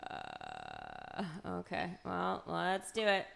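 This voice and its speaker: Woman, game streamer, casual and playful chatter, expressive pitch swings, creaky vocal fry, reacting aloud. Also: drawn-out words, a little hiss or hum. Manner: chatty, playful self-talk, reacting aloud and bantering with viewers. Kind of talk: casual livestream chat over gameplay.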